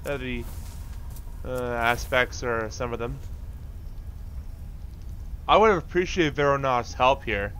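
A man's voice: medium pitch, slow, pauses often, a bit bright, a little hiss or hum. A man giving casual gameplay commentary as a channel host, informal and muttering his reactions to himself.

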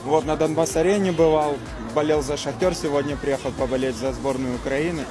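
A man, a football fan, speaking in an excited, animated way. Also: a little high, a little fast. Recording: noisy outdoor recording. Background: loud music and crowd.

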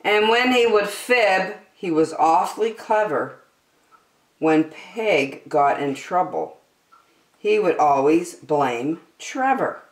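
An older woman hosting story time, reading a picture book aloud to young children with expressive, drawn-out emphasis. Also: on the low side, far from the mic. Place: small decorated indoor room. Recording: roomy echo.

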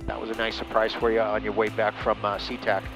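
A man chatting over ham radio, relaxed and enthusiastic, with lots of fillers, from a small plane cockpit and his radio room. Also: on the low side, fast, faint music behind. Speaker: private pilot and ham radio operator. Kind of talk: casual radio chat.

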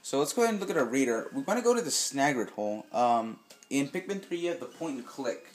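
A man casually commenting while he plays a video game, slightly raspy and hesitant, with lots of ums, filmed off a TV at home. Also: medium pitch, big pitch swings, fast talker, a little hiss or hum. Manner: rambling, hesitant with many ums. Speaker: gameplay video host. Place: home room with TV, filming screen. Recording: echoey room, game music behind.

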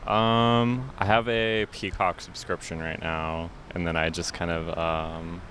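A man's voice: low voice, little pitch movement, slow, a bit bright. A young man casually answering a street interviewer outdoors. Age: young adult. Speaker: passerby. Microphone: handheld mic held by interviewer. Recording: faint street noise behind.

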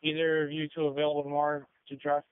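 A man's voice: on the high side, completely flat delivery, fast talker, clean tone, narrow, tinny audio. A man working as an emergency radio operator, speaking tersely over a two-way radio. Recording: band-limited emergency radio transmission.

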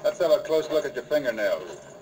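An actor playing a steady, commanding American lawman hero in an old-time radio western. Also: medium pitch, brisk, natural voice. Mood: stern. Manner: firm, direct. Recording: noisy old radio recording.

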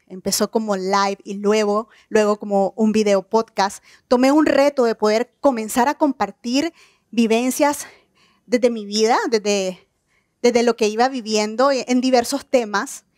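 A woman's voice: a little high, fairly even intonation, dry recording, clean studio sound. Earnest woman giving a stage talk. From Nicaragua. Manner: earnest, measured, personal. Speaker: keynote speaker. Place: outdoor evening stage event.

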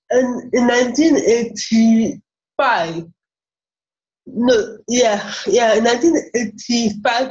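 A Ghanaian woman in her forties, a software engineer talking about herself haltingly, with many repeated words, on a video call from a small office. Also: fairly high pitch. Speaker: interview guest, software engineer. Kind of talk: casual interview talk. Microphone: laptop webcam on a video call. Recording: muffled video call audio.

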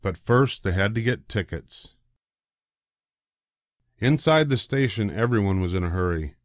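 An older man narrating a children's storybook in a deliberate, expressive way. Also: on the low side, dry recording, clean studio sound. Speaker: storybook reader.

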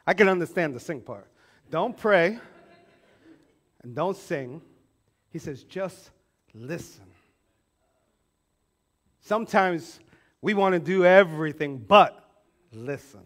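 A man in his forties preaching a sermon on a church stage, animated, acting out quoted voices and swinging from loud to hushed. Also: on the high side, slow, pauses often. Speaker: pastor. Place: church stage with band instruments.

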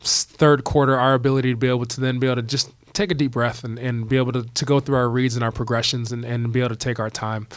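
Male coach, 40s, postgame presser; steady, flat, repeats himself, dry table mic. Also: mid-range voice, no room sound. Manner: repetitive restarts, steady and measured. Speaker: basketball coach. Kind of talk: postgame press conference answers. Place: press conference room, seated at table. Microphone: table mic on stand.

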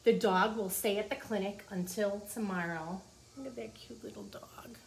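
Female teacher, 40s, mid-range voice, children's read-aloud with simple asides, small office with clear background noise. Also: medium pitch, normal speed. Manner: addresses young listeners with questions and simple explanations. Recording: clearly noisy room ambience.

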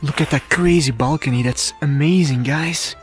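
A man's voice: fairly high pitch, moderate pace. Chatty man exploring a ruin, music behind. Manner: chatty, enthusiastic, many fillers. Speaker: urban explorer vlogger. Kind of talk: casual walkthrough commentary. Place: outdoors at an abandoned villa in woods. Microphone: handheld camera mic.

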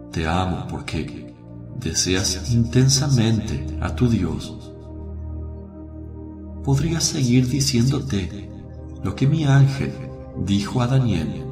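A male actor plays a gentle, merciful holy teacher in a devotional radio play. His low-pitched voice moves slowly and solemnly, with tenderness in the measured delivery. The sound is roomy and echoing, with music underneath. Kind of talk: devotional radio drama acting. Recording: roomy echo over music.

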